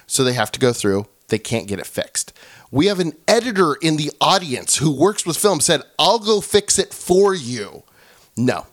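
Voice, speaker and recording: Animated man telling stories in casual chat. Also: mid-range voice, no room sound. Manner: animated, stumbling restarts, storytelling.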